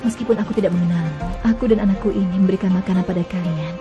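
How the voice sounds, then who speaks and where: A woman voicing a gentle, devout mother she-camel in a dubbed cartoon, speaking softly and tenderly. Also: fairly low pitch, very fast, dull audio, over music, close to an everyday voice. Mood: calm, earnest. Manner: soft, tender and pleading.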